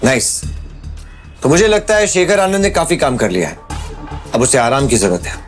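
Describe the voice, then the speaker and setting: An actor playing a determined, commanding young runaway prince in a Hindi audio drama. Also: male voice, medium pitch, sing-song, normal speed, faint music behind, lightly acted. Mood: cool, commanding.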